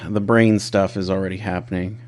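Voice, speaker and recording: A man's voice: deep voice, level tone, measured pace. A man in his thirties hosting a podcast, chatting casually with a self-deprecating, rambling way of talking. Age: 30s. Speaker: podcast host. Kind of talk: casual interview chat.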